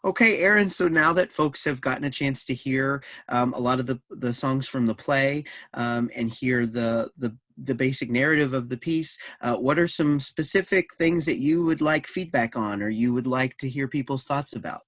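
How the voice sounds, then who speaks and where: Man, medium pitch, presenter, reading notes and moderating, dry sound. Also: normal speed, hardly any pauses, fairly bright tone, dry recording.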